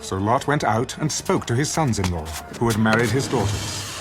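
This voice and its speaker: Animated solemn male narrator over music. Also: medium pitch. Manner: solemn, measured storytelling. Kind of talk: dramatic scripted scripture narration.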